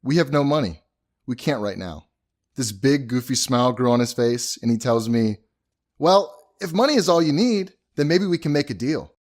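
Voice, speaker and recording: An actor playing an earnest, thrifty husband who explains and justifies himself in an audio drama. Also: male voice, medium pitch, animated, normal speed. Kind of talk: acted audio drama dialogue.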